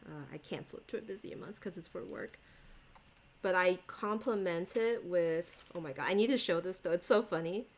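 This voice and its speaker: A woman's voice: fairly low pitch, moderate pace. A woman whose first language is Chinese, chatting casually and rambling as she shows her planners, laughing often. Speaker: stationery vlogger. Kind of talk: casual chat showing planners.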